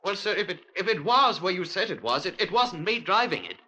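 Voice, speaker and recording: A man's voice: high-pitched, big pitch swings, a little fast, pushed and bright, heavily acted. A man playing a meek, evasive British lance corporal, stammering and deferential, in a 1950s radio drama. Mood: flustered, defensive. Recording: vintage 1950s radio recording.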